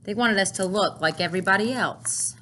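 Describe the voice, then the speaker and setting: A woman voicing a gentle older grandmother who recalls her childhood in a picture book, measured and earnest. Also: medium pitch, slight background noise, close to an everyday voice. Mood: solemn recollection.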